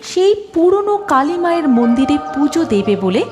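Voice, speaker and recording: A woman's voice: sits high, sing-song, normal speed, over music. A woman giving scripted narration for a cartoon story.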